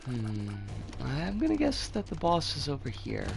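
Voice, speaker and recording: A man chats through a game stream in a hoarse, gravelly voice with plenty of creak. His pitch swings widely as he gives blunt, sweary opinions in a casual way. Faint music plays behind him. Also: wildly swooping pitch, a little slow. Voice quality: scratchy. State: hoarse, voice strained by an oncoming cold. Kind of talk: casual live game commentary and chat.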